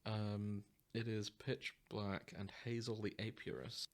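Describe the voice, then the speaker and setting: Low-voiced man in casual podcast banter. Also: little pitch movement, measured pace. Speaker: podcast co-host. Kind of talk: casual chat among friends.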